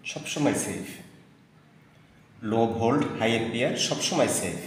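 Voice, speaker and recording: Very reverberant room, faint noise, man, lowish pitch, instructional product demonstrator, repeats points for emphasis. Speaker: product presenter. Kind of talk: product demonstration, explaining specifications.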